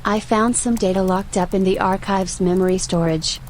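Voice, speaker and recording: A woman voicing a polite, watchful archive AI in an audio roleplay, speaking in a formal, measured, robotic manner. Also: medium pitch, steady tone, darkish tone, slight background noise, natural voice. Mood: composed, probing. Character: female-voiced archive AI, polite, composed, coolly watchful.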